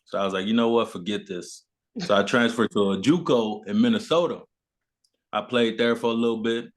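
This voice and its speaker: A man's voice: on the low side, steady tone, brisk. A young man from Memphis, telling stories as an interview guest in informal, slangy speech. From born in South Sudan, grew up in Memphis, USA. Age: young adult. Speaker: guest, basketball player. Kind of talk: casual chat, storytelling.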